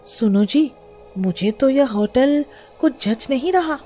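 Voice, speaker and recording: A woman's voice: high voice, animated, slow pace, warm tone, faint music behind. A woman voicing an anxious, scolding wife and mother in a children's cartoon story, fretful and nagging. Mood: worried.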